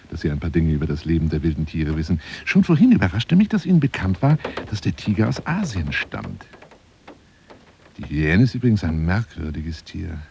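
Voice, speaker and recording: An actor playing a self-dramatizing, obsessive big-game hunter monologuing on the telephone in a radio play, theatrical and often dropping into breathy whispers. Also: male voice, low-pitched, a little hiss or hum, heavily acted. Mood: lecturing, musing. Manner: theatrical, swinging from hushed murmurs to agitated outbursts.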